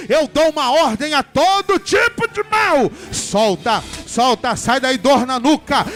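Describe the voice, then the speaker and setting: A man's voice: extremely high pitch, fairly bright tone. A man, a pastor, leading a church in a fervent healing prayer and commanding sickness to leave. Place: church service.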